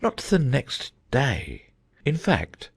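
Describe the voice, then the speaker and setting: A man's voice: medium pitch, wildly swooping pitch, drawn-out words, creaky, breathy edge, full character voice. Man playing a dotty, swooping storybook old knight. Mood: slow, downcast. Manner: earnest, rambling, thoughtful. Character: gentle, dotty old male knight, solemn muddled inventor. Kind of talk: dramatized audiobook acting.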